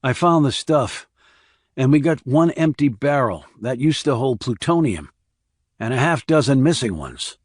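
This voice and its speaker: A man voicing a terse, authoritative, steady former army investigator in audiobook dialogue. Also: medium pitch, measured pace, dry recording, clean studio sound, voice pushed up. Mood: urgent.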